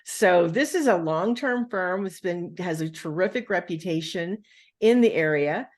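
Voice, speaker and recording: A woman's voice: on the low side, lively intonation, a little slow, a little mellow. A woman hosting a podcast interview, chatty, with plenty of fillers and restarts. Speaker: podcast host.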